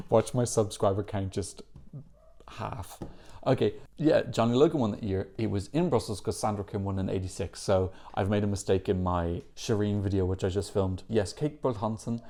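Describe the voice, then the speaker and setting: A man's voice: on the low side, normal speed, warm tone. A man hosting a Eurovision reaction video, giving chatty, opinionated commentary and correcting himself as he goes. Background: faint music from the songs being reacted to.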